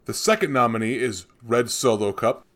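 A man's voice: medium pitch, animated, moderate pace, loud music behind the voice. A man co-hosting a podcast, announcing awards in a casual, bantering way. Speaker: podcast co-host presenting an award.

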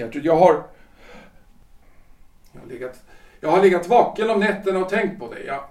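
Bewildered politician husband in his forties, period radio play, halting, widely swinging intonation, roomy and distant from the mic. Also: a little high, a little mellow. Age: 40s. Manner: hesitant, halting, repeating words and phrases. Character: anxious 43-year-old lawyer-politician husband, self-important, bewildered. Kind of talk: period stage-play audio drama acting.

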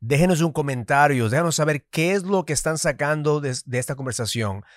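A man originally from Ecuador, raised in the United States, co-hosting a podcast in energetic Spanish with a mixed Ecuadorian and Dominican accent, often restarting words and dropping in English. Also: a little high, brisk, no echo, clean studio sound. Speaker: podcast co-host. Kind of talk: business podcast conversation.

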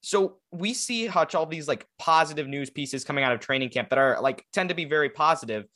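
A man's voice: fairly high pitch, a little fast, sharp tone. A young man hosting a sports podcast in a casual, rambling chat with a guest. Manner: rambling, filler-heavy, conversational. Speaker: podcast host.

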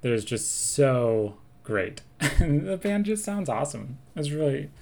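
A man's voice: on the high side, very animated, unhurried, gravelly, a little mellow. Analytical man chatting about film songs. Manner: thoughtful, analytical, quotes lyrics with relish. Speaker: podcast co-host. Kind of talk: casual chat.

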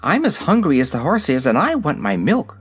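Guy doing a little girl kitten in a storybook, purry n sure of herself, pitched up. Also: huge pitch swings, fairly quick, slightly rough, soft background music, heavily acted. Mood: impatient. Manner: small-voiced, purring, self-assured. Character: small, cool, carefree female kitten.

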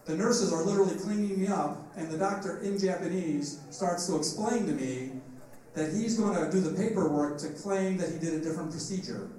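A man's voice: high voice, a little fast, dark tone. A middle-aged man, a comedian and podcast host, speaking casually as he interviews and tells comic anecdotes. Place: convention. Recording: echoey, noisy convention, distant mic.

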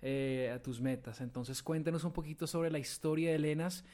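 A man's voice: on the high side, level tone, normal speed, a little mellow. A man hosting a startup podcast, upbeat, reading a scripted opening and interviewing a guest. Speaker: podcast host.

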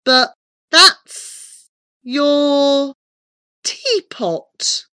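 A woman's voice: sits high, wildly swooping pitch, moderate pace, pushed and bright, dry recording, voice pushed up, full character voice. A woman voicing an eager, polite, wide-eyed hero of a children's storybook. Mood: amazed.

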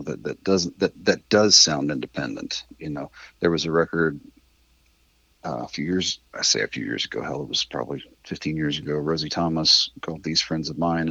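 Very deep, husky middle-aged man. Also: bright, forceful tone, dry recording, dull audio. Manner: candid, rambling, mildly profane. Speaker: interview guest, independent musician. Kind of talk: casual conversational interview.

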